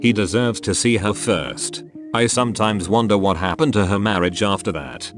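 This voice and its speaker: A man's voice: on the low side, moderate pace. A man reading out forum stories as narration over background music. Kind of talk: read-aloud narration.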